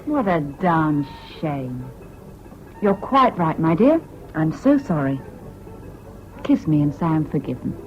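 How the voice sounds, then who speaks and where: A woman's voice: sits low, big pitch swings, slow pace, pauses often, soft background music, heavily acted. Languid, mocking young Englishwoman Leah. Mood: mocking, coaxing. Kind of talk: 1970s British radio drama acting. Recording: dull, hissy old radio recording.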